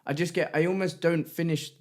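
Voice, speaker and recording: A man's voice: fairly high pitch, steady tone, a little fast. A young man co-hosting a podcast, chatting in a rambling, laughing way. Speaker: podcast co-host. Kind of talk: casual chat.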